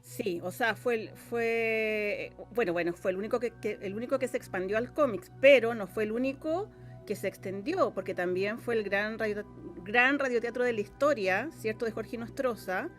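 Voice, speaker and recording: A woman's voice: on the high side, normal speed, faint music behind. Chilean woman chatting as an interview guest. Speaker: interview guest, radio drama scriptwriter. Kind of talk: casual explanatory interview chat.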